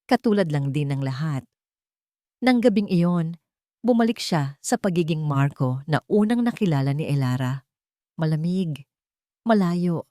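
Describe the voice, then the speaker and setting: A woman's voice: fairly low pitch, warm tone, no echo, studio quality. A woman narrating a scripted romantic story in a dramatic style.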